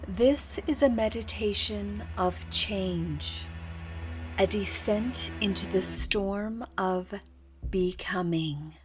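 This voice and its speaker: Very slow-speaking woman guiding meditation over music. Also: mid-range voice. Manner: slow, hushed, deliberate, with long pauses. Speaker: meditation guide. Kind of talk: scripted guided meditation narration.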